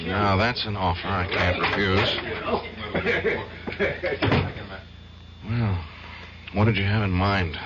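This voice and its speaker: A man's voice: low-pitched, fairly even intonation, normal speed, lightly acted. A man playing a young trial lawyer with tense outbursts, in a radio drama. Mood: uneasy. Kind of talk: 1970s radio drama acting. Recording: dull lo-fi radio broadcast with faint hiss.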